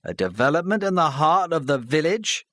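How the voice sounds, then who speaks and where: A man's voice: on the high side, big pitch swings, moderate pace, no echo, clean studio sound, pitched above the performer's own voice. A man doing a sneering village bully. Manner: loud, sneering, jeering. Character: big brash village mechanic, sneering bully.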